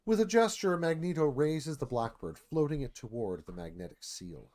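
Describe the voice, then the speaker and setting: A man's voice: a little high, lively intonation, moderate pace, darkish tone. A man narrating stage directions in a live dramatic screenplay read.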